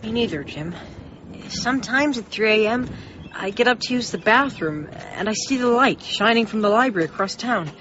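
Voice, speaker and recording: A woman's voice: fairly high pitch, animated, moderate pace, a bit bright. A woman playing an earnest, anxious young boy in a radio drama. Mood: wondering. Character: earnest, anxious young small-town boy, loyal and questioning. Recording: muffled, noisy radio drama recording.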